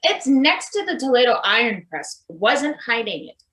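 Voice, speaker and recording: A woman's voice: on the high side, sing-song, normal speed, bright, forceful tone. A woman playing a mild, worried male maintenance worker in a live play reading, hesitant and questioning, in an echoing room. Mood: puzzled. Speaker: actor. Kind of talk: live table read of satirical play.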